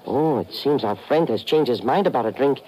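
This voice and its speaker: Animated, watchful male informant, acted. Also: medium pitch, fairly quick, natural voice, low-quality recording. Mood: wary. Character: watchful, cautious male European ally of an agent. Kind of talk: 1950s radio drama acting. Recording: old radio recording with crowd noise.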